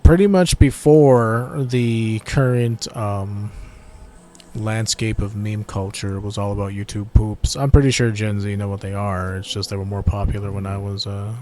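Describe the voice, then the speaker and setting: A man's voice: lowish voice, steady tone, a little slow, darkish tone. A young adult man with a tired voice, giving laid-back, slangy gameplay commentary and swearing at mishaps. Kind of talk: casual let's-play commentary.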